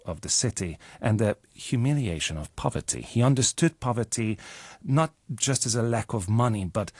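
The Hungarian actor you would hear as a morning radio guest, a man with a slightly low voice, telling his story earnestly and choosing his words with care. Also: slightly rough, no echo. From Budapest, Hungary. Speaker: interview guest, actor and playwright. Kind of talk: personal storytelling in a radio interview.

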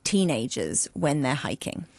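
A woman from Hong Kong hosting a chatty radio interview in an animated, informal way. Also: low-pitched, measured pace.

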